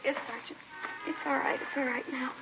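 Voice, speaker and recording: A woman's voice: high voice, steady tone, brisk, over music. An actress playing a troubled, reluctant teenage girl witness in a 1950s radio crime drama. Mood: grieving. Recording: dull, noisy old radio recording.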